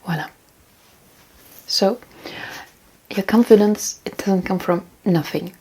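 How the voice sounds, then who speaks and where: Woman, husky, relaxed tone, casual vlog advice, rambling, repetitive for emphasis. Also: medium pitch, takes it slowly, poor audio. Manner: rambling, repeats phrases for emphasis. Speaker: self-improvement vlogger. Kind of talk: casual talk, giving tips and reading out comments.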